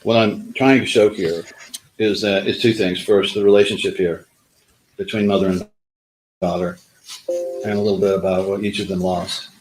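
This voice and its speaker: A man speaking as a lawyer in a courtroom, making a halting, direct closing argument to a jury. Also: fairly low pitch, little pitch movement, darkish tone, a little hiss or hum, rough recording.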